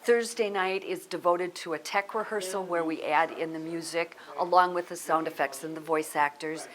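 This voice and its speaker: A woman's voice: lowish voice, steady tone, normal speed, fairly bright tone, rough recording. An American woman, a theatre director, talking casually in an interview. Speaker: artistic director of radio theatre.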